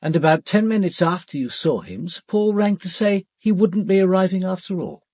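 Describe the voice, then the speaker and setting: An actor playing a methodical, composed British police detective narrating an investigation in a radio drama, with probing questions. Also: male voice, high voice, moderate pace, darkish tone, dry recording.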